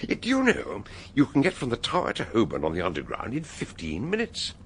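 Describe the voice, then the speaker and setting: An actor playing a shrewd older British detective in a radio drama, with an expansive, knowing, theatrical manner. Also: male voice, medium pitch, wildly swooping pitch, a little fast, a little hiss or hum.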